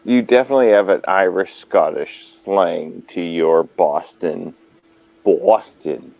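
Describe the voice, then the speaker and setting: A man's voice: sits low, takes it slowly, vocal fry, no room sound, highs cut off. A man hosting a casual live chat, rambling and hesitant as he talks. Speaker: host.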